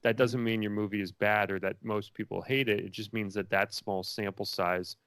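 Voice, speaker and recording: Low, creaky-voiced man in casual interview. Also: flat delivery, moderate pace, a bit bright, no echo, clean studio sound. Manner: rambling and informal, many likes and you knows. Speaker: filmmaker being interviewed.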